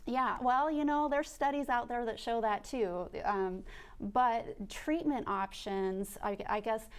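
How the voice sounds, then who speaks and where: A woman's voice: fairly high pitch, takes it slowly. A woman who runs an allergy department, explaining methodically as a guest in a radio studio. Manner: clear, methodical, lists things step by step.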